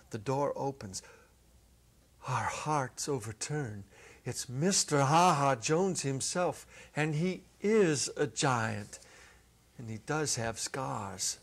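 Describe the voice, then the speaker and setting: A middle-aged man giving a dramatic literary reading, deliberate and theatrical, drawing out his words. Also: mid-range voice. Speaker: story reader. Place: indoor set with holiday decor. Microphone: clip-on lapel mic.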